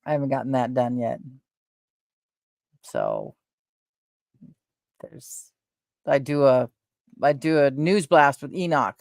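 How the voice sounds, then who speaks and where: A middle-aged woman talks in a soft, gentle voice, hosting a loose, meandering chat. She drifts from thought to thought, trailing off and correcting herself with plenty of fillers. The sound is dry and close, with no room around it. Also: low-pitched, clean tone, dry recording. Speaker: livestream host. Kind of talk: rambling casual chat.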